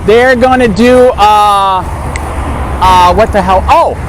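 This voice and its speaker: A man in casual street conversation, animated and questioning, quick to agree with the other person. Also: high voice, rough recording. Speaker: activist filming a street conversation. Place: outdoors on a street near traffic. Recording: loud street and traffic noise.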